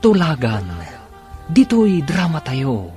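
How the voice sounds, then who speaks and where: A man's voice: on the high side, very animated, takes it slowly, dark tone. A man with a Filipino accent narrating and announcing a radio drama in a scripted, dramatic style. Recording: radio broadcast with music underneath. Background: background music.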